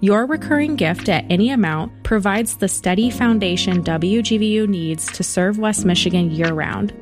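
A woman's voice: medium pitch, moderate pace. Woman, station promo, polished, upbeat, music behind. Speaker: station announcer. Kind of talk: scripted fundraising promo. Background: music bed.

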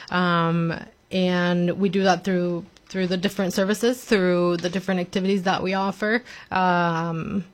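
A woman from Mexico, answering interview questions as a nonprofit director in an earnest, upbeat, conversational way. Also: lowish voice.

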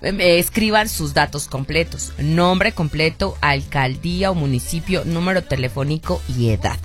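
A woman's voice: deep voice, normal speed, faint music behind. A Mexican woman hosting a radio call-in show, playful and exclamatory, laughing often as she plays listeners' voice notes. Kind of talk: lively radio call-in chat.

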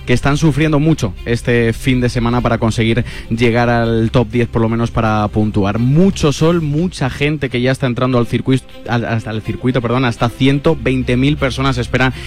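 A man's voice: mid-range voice, steady tone, moderate pace, a little mellow, soft background music. A man with a Castilian Spanish accent giving a live radio sports report.

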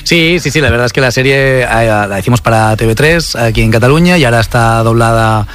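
A man with a medium-pitched voice and a Spanish accent from Spain talks at a rapid clip. He is a director and screenwriter joking along as an interview guest. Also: fairly bright tone, faint music behind. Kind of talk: joking radio interview chat.

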